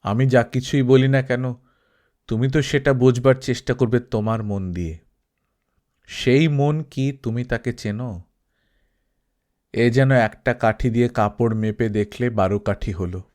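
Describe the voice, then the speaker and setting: A man voicing an elderly male Sufi saint who speaks gently and patiently, like a teacher. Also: mid-range voice, a little slow, pauses often, lightly acted. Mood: gentle.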